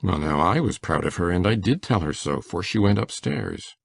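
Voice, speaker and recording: Man playing a very deep, gravelly old farmer. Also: lively intonation, no echo, clean studio sound, full character voice. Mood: quietly proud. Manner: plain, folksy, unhurried phrasing. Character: shy, proud, gentle older farm man. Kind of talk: audio drama acting.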